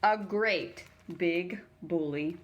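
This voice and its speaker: A woman's voice: lowish voice, very slow, lo-fi sound, a little hiss or hum. A woman reading a children's story aloud as a teacher would, narrating expressively for young listeners.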